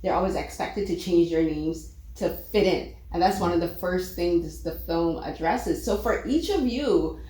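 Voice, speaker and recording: A woman from Barbados interviewing filmmakers, chatty and animated, with frequent fillers. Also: on the low side, moderate pace. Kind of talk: casual interview. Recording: echoey room.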